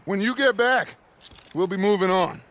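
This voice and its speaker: An actor playing a middle-aged American outlaw gang leader in a video game, commanding and theatrical, with a rousing, oratorical way of speaking. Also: male voice, high voice, moderate pace, fairly bright tone. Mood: brisk, in command. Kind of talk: video game cutscene acting.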